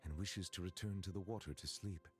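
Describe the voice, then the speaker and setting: A man playing a formal, reserved and kindly nobleman in a video game, speaking in measured, old-fashioned phrases. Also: deep voice, faint music behind, lightly acted. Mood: polite, composed. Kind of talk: scripted video game dialogue.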